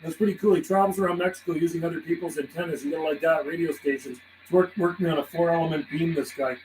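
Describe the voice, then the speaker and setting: A man's voice: a little high, fairly even intonation, quick pace, a little mellow, a little hiss or hum, rough recording. A man from western Canada chatting live on CB radio, laughing often and rattling off call signs and radio jargon. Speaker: CB radio operator streaming live. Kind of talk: casual on-air radio chat.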